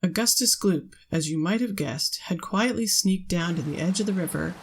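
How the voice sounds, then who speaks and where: A woman hosting a storytime, reading a children's book aloud in an expressive narrating style. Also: lowish voice, normal speed, slight background noise. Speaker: storytime host and reader.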